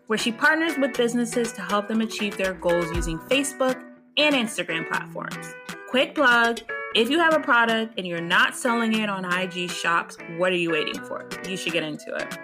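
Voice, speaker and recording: A young woman hosting a podcast, talking in an upbeat, effusive way with casual slang. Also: on the high side. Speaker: podcast host. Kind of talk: casual interview chat.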